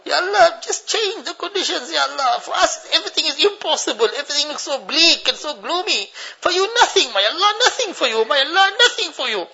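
A man's voice: very high voice, animated, quick pace, gravelly, hardly any pauses, pushed and bright. An older man leads a tearful congregational prayer, pleading and repeating each plea with a refrain, his voice choked with tears. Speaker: imam leading a supplication. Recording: dull lo-fi recording.

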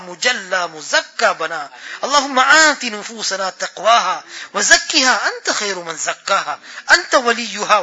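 A man delivers an impassioned religious supplication in an extremely high, intensely sharp voice. He sounds tearful and on the verge of breaking, pleading with drawn-out, repeated appeals as a prayer leader would. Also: husky.

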